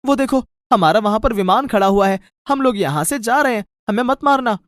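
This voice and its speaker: A man voicing a tiny, nervous magical dwarf from another world, pleading and earnest, in an animated moral story. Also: high-pitched, fairly quick, no echo, clean studio sound. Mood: frightened pleading.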